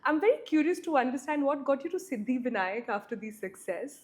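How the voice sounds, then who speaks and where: A woman's voice: sits high, expressive, fairly quick, a little mellow. A woman hosting an interview, teasing her guest and backing him up with frequent "hmm"s. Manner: teasing, many "hmm" backchannels. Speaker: interview host.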